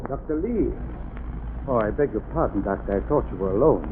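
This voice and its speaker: A man playing a nervous, suspicious laboratory chief in a 1930s radio drama, quick to accuse. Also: medium pitch, lively intonation, close to an everyday voice. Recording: tinny old radio broadcast with faint hiss.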